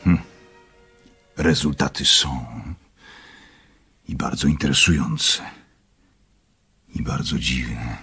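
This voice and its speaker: An actor in a Polish radio play voicing a calm, methodical doctor, husky and measured, with a clinical manner. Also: male voice, extremely low pitch, faint music behind, exaggerated voice. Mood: intrigued, analytical. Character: calm, methodical male doctor leading an experiment.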